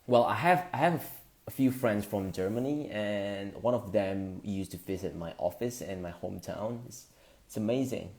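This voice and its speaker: A man, hosting a casual live stream, greeting viewers and talking in halting bursts with lots of fillers. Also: fairly low pitch, a little mellow. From Indonesia. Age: 20s.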